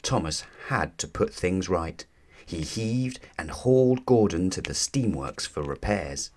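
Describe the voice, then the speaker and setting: A man narrating a children's storybook aloud in an expressive, deliberate storytelling manner. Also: low-pitched.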